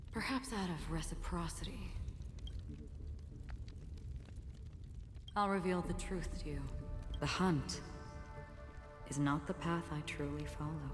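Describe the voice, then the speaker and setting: An actress playing a calm, enigmatic wandering swordswoman in a video game, speaking in a measured, composed way. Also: deep voice. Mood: calm, resolute. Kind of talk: dramatic video game voice acting. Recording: long reverb, game music behind. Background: music.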